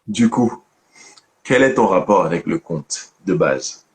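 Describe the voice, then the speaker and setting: A man's voice: sits low, animated, slow. A man hosting an online interview, asking questions and teasing his guest in a joking, familiar way. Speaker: host of a storytelling channel.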